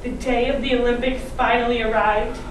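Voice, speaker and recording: High-voiced woman storyteller in echoing hall. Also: flat delivery, brisk. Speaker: readers theatre performer. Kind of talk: theatrical ensemble storytelling on stage. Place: large indoor performance space, far mic. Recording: echoey hall from far off, noisy.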